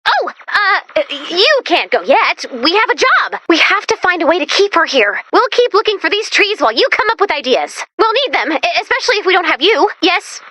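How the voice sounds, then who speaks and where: Casting: chirpy, anxious game robot sidekick, very high, dry studio sound, earnest with deadpan turns. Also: female voice, normal speed, few pauses, no room sound, exaggerated voice. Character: chirpy, anxious, eager-to-please hovering robot helper. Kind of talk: video game character voice lines.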